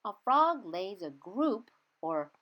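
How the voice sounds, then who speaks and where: A woman reading a children's science book aloud in a teacherly way, emphasizing key words with simple, expressive phrasing. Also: mid-range voice, slow. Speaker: children's read-aloud reader.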